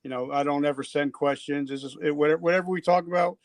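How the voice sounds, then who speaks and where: A man's voice: on the high side, steady tone, fast talker, no room sound, clean studio sound. An older man from New Jersey hosting an unscripted podcast, chatting in a casual, rambling, jokey way. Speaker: podcast host.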